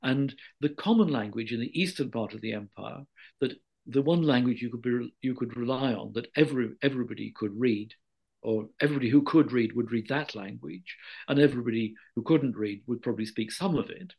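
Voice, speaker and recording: Guy doing a scholarly interview answer, measured and careful, keeps correcting himself, medium pitch. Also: gravelly, a little mellow, husky. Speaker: guest expert on ancient Greek history. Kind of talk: explanatory interview conversation.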